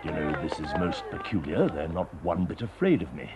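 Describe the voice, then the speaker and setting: An actor voicing a cocky, menacing male wasp in a cartoon, with a gruff, gravelly voice. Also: very deep voice, very animated, brisk, over a noisy crowd, very noisy, exaggerated voice. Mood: defiant. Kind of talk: cartoon dub acting.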